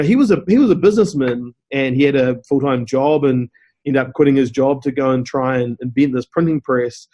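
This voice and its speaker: A man's voice: mid-range voice, lo-fi sound. Man with a New Zealand accent. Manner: steady, explanatory, frequent "you know". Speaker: guest, company CEO. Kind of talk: interview answers.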